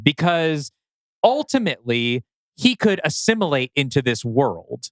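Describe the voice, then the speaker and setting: Clear, smooth-voiced man talking analytically. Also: a little high, sing-song, unhurried, no echo, clean studio sound. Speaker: podcast co-host. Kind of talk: casual analytical story discussion.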